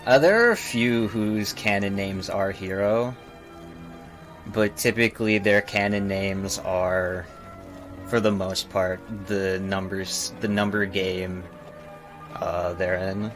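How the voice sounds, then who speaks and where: Casting: male game streamer, fairly low voice, casual halting explanations, faint music underneath. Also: fairly bright tone. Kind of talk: casual live game commentary.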